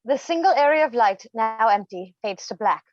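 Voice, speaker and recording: A woman's voice: on the high side, lively intonation, normal speed, dry recording. A woman reading scripted stage directions as a narrator, recorded in slightly dull livestream audio.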